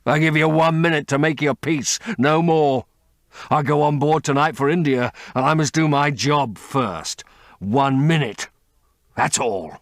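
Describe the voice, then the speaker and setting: A man voicing a vengeful sailor who threatens slowly and deliberately. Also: fairly high pitch, no echo, clean studio sound, voice pushed up. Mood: threatening. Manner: slow, menacing, deliberate.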